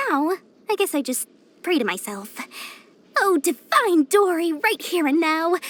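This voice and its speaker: A woman's voice: very high-pitched, full character voice. A woman voicing a small, greedy, boastful young female merchant in a video game, with smug, theatrical, wheedling sales patter. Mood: sly, theatrical. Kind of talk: video game character voice lines.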